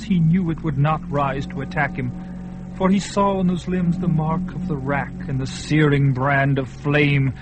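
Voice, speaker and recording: Brief: male narrator for fantasy radio drama, dramatic, swelling then hushed, music bed. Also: on the high side, rough recording. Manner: dramatic, swelling at peaks, hushed in quiet parts.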